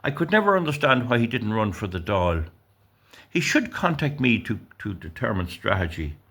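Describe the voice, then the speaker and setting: A man's voice: lowish voice, very animated, moderate pace, fairly bright tone, slightly rough. Ranting Irish man in his sixties. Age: 60s. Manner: indignant, rambling, stumbling over words. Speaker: political commentator. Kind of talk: reading out news and ranting.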